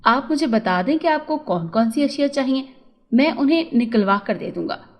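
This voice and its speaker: Storybook shopkeeper, male played by a woman: high-pitched, courteous, deferential, honest, eager to please. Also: expressive, normal speed. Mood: polite, obliging.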